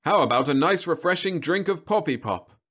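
A man voicing a cheerful male vending robot with chirpy, oblivious sales patter, pitched well above his own voice and louder. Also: lively intonation, normal speed, breathy edge.

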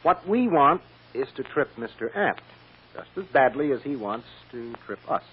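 An actor playing a firm, shrewd defense lawyer with a blunt, emphatic manner in an old-time radio drama. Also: male voice, mid-range voice, big pitch swings, normal speed, natural voice. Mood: determined. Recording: muffled, hissy old radio recording.

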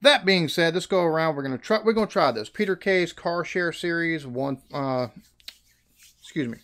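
American guy in his 30s chatting on a headset, slightly high voice, laughs easy. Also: lively intonation, normal speed, fairly bright tone. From United States. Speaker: reaction video host. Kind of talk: casual chat. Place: small room in front of a green screen.

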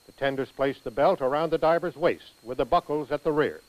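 An American man reading scripted instructional narration for a training film in a precise, measured manner. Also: fairly high pitch, low-quality recording.

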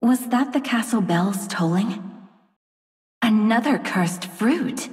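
An actress playing a vengeful dark fairytale princess turned sorceress queen in a video game, haughty, measured and theatrical. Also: on the high side, expressive. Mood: brooding, proud. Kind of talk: video game character voice lines. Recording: long reverb, distant from the mic.

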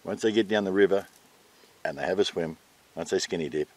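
An older man with an Australian accent and a low voice talks casually outdoors. He answers interview questions plainly, wandering and pausing as he pieces his sentences together. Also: a little mellow. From New South Wales, Australia. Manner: rambling, halting, plain-spoken. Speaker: retreat owner being interviewed. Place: outdoors at a garden table in bushland.

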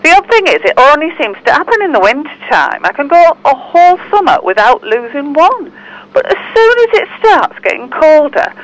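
A woman's voice: high voice, big pitch swings, moderate pace, slight background noise, poor audio. An actress playing a friendly woman phoning in to a podcast in a scripted comedy audio drama. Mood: puzzled. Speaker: phone-in caller.

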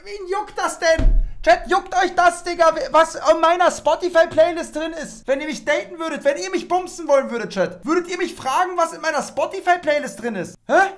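A man reacting to a video as a streamer, talking in an animated, blunt and colloquial way. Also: extremely high pitch, fairly quick, few pauses, faint music behind. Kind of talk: live reaction commentary.

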